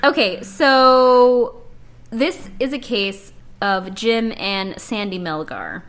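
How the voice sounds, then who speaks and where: A woman with a high, bouncy voice co-hosting a casual true-crime podcast. Her pitch swings widely as she jokes and laughs with her co-host. She sounds playful throughout. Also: takes it slowly. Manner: laughs often, playful, animated. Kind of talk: casual banter about a true-crime case.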